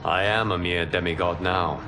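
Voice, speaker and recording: An actor playing a humble former god in a video game, speaking in a formal, measured, earnest way. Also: male voice, low-pitched, fairly even intonation, music in the background. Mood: solemn, explanatory. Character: solemn, humble former god and protector of Earth. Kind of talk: dramatic video game cutscene acting.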